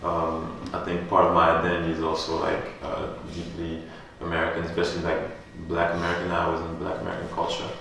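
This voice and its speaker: A deep, gravelly man from France, talking in a loose, rambling way full of fillers. His flat delivery sounds echoey and distant in a gallery space, with a faint hum behind him. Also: quick pace, hardly any pauses. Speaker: artist. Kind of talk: gallery artist talk.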